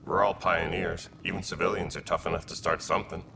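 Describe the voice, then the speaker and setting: Gravelly, deep-voiced militia commander. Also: male voice, fairly even intonation, a little fast. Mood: stern. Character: hard-nosed male militia commander, blunt and stern. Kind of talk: video game cutscene acting. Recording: clear background ambience noise.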